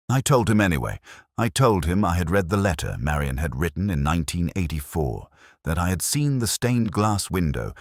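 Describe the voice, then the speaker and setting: A man narrating a detective story in the first person, in a measured, brooding and somber way. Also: extremely low pitch, dry recording, studio quality.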